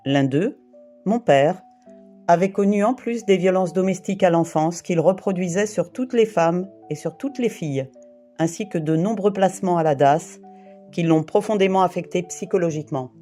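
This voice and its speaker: A woman from the Paris region, in her late fifties or older, gives a prepared first-person testimony in a grave, deliberate way, piling up short phrases one after another. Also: on the low side, warm tone, soft background music. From Île-de-France, France. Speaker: interviewee giving testimony.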